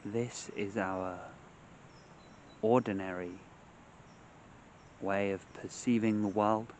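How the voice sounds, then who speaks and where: A man guides a meditation in a fairly low voice. He goes very slowly, setting out short, measured phrases with long pauses between them. Faint outdoor nature sounds sit behind him. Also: fairly even intonation, darkish tone, slight background noise. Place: outdoors among trees.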